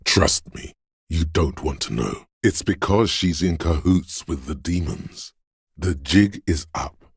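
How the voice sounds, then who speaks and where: A man voicing a paranoid, aggressive inner voice of primal fear in a video game, menacing, urgent and ominous, with a gravelly, husky sound. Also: extremely low pitch, expressive, slow pace, dry recording, clean studio sound, exaggerated voice. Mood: ominous, fearful. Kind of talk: video game voice acting, second-person dramatic narration.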